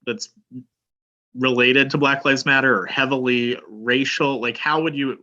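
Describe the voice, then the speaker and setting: Bright-voiced man on dull call audio. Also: medium pitch, steady tone, a little slow. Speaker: webinar participant asking questions. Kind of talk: informal discussion.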